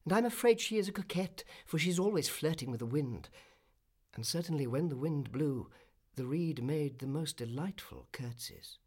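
A storyteller voicing a small, vain, brisk male swallow from a storybook, exclaiming bluntly and quick to complain. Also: mid-range voice, expressive, a little mellow, slightly rough. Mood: peevish. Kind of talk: storybook character voice.

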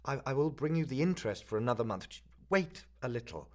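A man's voice: medium pitch, animated, pitched above the performer's own voice, lightly acted. A man voicing a nervous, poor young male student who stammers and pleads in a hurry. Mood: nervous, pleading. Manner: stammering, hurried.

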